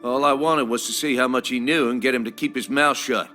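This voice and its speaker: An actor playing a cold, corrupt small-town villain with a folksy, threatening manner in a video game. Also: male voice, mid-range voice, fairly even intonation, fairly quick, sharp tone, soft background music, natural voice. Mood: bitter, threatening.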